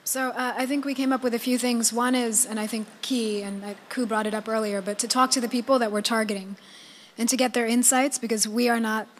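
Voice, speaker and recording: Some background noise sits behind a woman with a fairly high voice. She reports back informally for her group at a roundtable. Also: steady tone, fairly quick. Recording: clear background noise, room ambience.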